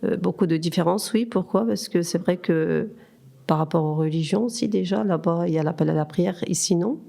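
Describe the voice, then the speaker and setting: A woman's voice: on the low side, fairly quick, creaky, mellow. A woman in her fifties reflecting on her own life, hesitant and often putting questions to herself. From Turkey. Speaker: podcast guest. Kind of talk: reflective personal testimony.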